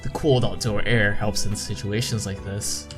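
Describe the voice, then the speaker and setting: A man doing a game playthrough, reading visual novel text aloud haltingly and adding his own asides. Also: fairly low pitch, fairly even intonation. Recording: game music behind the voice. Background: game music.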